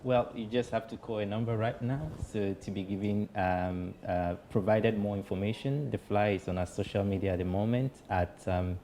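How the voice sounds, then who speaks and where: A man's voice: fairly low pitch, fairly even intonation, warm tone, a little hiss or hum. A man from the program staff giving a hesitant answer in a TV interview. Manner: hesitant, with um and uh pauses.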